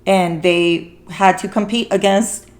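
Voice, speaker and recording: A woman's voice: medium pitch, fairly even intonation, slow. Earnest woman hosting a casual podcast. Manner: earnest, encouraging, repeats phrases for emphasis. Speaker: podcast host. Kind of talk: casual podcast conversation about a film.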